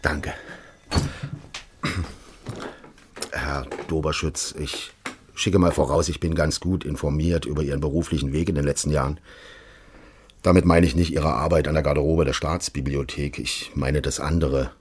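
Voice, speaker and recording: An actor playing a secretive, quietly menacing official in a radio crime drama, measured, formal and insinuating. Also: male voice, rumbling low, steady tone, slightly rough. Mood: polite, insinuating. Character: smooth-mannered, menacing, secretive official recruiting a detective.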